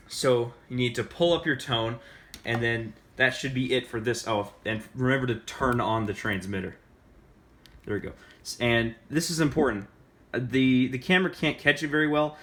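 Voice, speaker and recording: An American man hosting a casual hands-on how-to, speaking haltingly and often restarting or repeating his phrases, recorded on a phone. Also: mid-range voice, a bit bright. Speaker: tutorial host. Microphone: phone camera recording the demonstration.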